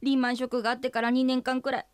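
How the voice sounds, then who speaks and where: A woman's voice: sits high, flat delivery, racing, smooth, no echo, natural voice. An actress playing a stern, exasperated female employee in a comedic radio drama, confronting her boss in polite but blunt, matter-of-fact speech. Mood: insistent.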